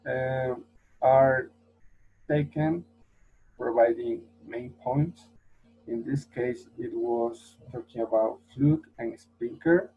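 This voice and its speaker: A man explaining speaking exam tasks in a halting way with fillers. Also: mid-range voice, slightly rough. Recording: dull lo-fi recording.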